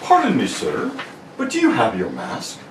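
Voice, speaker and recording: A man's voice: medium pitch, huge pitch swings, pitched below the performer's own voice. A man voicing a polite, formal butler guarding a secret house in an amateur read of a radio serial. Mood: instructing, formal. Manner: formal, deliberate servant-like speech. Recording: echoey room, distant mic, faint noise.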